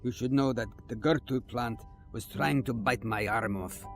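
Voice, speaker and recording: A man's voice: mid-range voice, normal speed, faint music behind, close to an everyday voice. A man playing a feisty, wry older starship captain in a science fiction audio drama, with theatrical, playful bluster. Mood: mock indignant.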